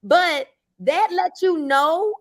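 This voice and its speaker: A woman in her fifties telling animated, playful stories while coaching on network marketing. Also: very high voice. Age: 50s. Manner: animated storyteller, quick asides, playful. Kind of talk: motivational chat.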